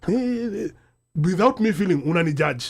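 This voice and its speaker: Middle-aged Kenyan man, husky, fast, lively pitch; code-switching panel guest who argues with rhetorical questions. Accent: Kenyan, mixing English and Sheng Swahili. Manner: code-switching mid-sentence, emphatic and storytelling, rhetorical questions. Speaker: podcast host. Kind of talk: animated panel discussion.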